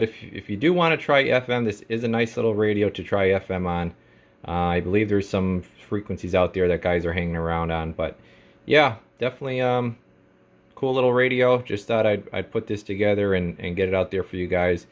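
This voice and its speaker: Male technician, lowish pitch, informal step-by-step product demo, jargon-heavy, conversational. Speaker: radio technician presenting a radio for sale. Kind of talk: casual product demonstration.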